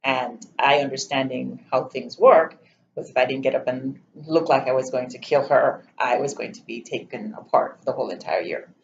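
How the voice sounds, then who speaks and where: A middle-aged woman from Jamaica with a Jamaican accent, recounting her life story in an interview in a measured, candid way. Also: very deep voice, vocal fry. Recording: slightly muffled, faint room noise.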